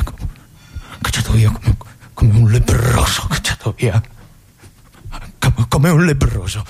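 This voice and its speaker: An ailing veteran army lieutenant in a literary stage drama, forsaken and bitter. He speaks very slowly and haltingly, circling back over his own words. His brooding, yearning delivery belongs to a solitary theatrical monologue. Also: lowish voice, vocal fry, darkish tone, breathy edge, full character voice. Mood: wounded, muttering. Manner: halting, repeating words, brooding, swinging from whispers to anguished cries.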